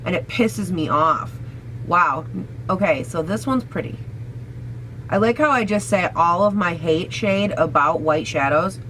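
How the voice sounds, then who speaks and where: Raspy-voiced young woman with a cold. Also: lowish voice, expressive, a little slow, a bit bright, slight background noise, rough recording. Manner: chatty, sassy, swears casually. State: hoarse from a cold. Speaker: beauty vlogger. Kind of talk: casual makeup try-on chat.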